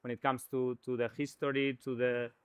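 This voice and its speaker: Male artist guest, smooth flat delivery, halting and searching for words. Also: mid-range voice, dry recording, highs cut off. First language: Spanish. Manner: hesitant, searching for words, many fillers. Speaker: painter, interview guest. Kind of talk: conversational art talk.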